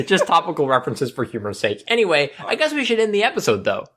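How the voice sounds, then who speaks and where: A man's voice: fairly high pitch, huge pitch swings, quick pace, fairly bright tone. Playful man hosting over music. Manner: playful, teasing, dry humour. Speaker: podcast host. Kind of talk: casual chat.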